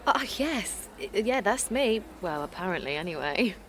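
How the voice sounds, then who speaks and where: An actress playing a young mother who tells her story in a confiding, rambling way, with soft hushed asides. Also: mid-range voice, very animated, moderate pace. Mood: flustered. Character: chatty, self-effacing young mum. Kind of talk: audio drama monologue.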